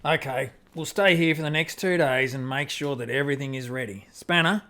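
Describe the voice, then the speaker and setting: A man's voice: medium pitch, a little fast, bright, forceful tone. Man acting an easy-going spaceship skipper. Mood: calm command. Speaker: amateur radio play actor. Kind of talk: radio drama acting.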